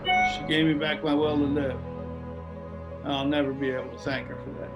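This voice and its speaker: A man's voice: fairly high pitch, flat delivery, normal speed, dull audio, close to an everyday voice. A man playing a tender, grieving old man in a dramatic table read on a video call. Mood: grieving, grateful. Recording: loud music over video call.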